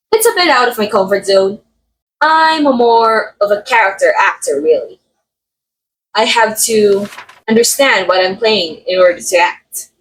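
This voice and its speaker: A woman's voice: a little high, slight background noise, rough recording. A woman acting as a haughty, catty film actress in an audio roleplay, with a theatrical, sardonic and condescending delivery. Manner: theatrical, sardonic, drawling some words for emphasis. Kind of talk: ASMR roleplay monologue, voice acting.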